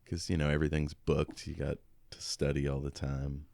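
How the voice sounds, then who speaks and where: A man's voice: rumbling low, flat delivery, normal speed, gravelly, darkish tone, no room sound. A man co-hosting a podcast, talking casually and reflectively about a book in informal, thought-out phrases. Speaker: podcast co-host. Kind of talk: casual book discussion chat.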